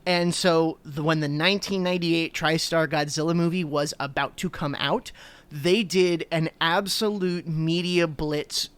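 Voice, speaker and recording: A man's voice: fairly high pitch, steady tone, measured pace, a bit bright, clear, resonant tone. A man in his thirties from New Jersey, co-hosting a podcast, talking eagerly and rambling with lots of fillers. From New Jersey, USA. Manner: enthusiastic, rambling with many fillers. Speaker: podcast co-host. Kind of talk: casual chat.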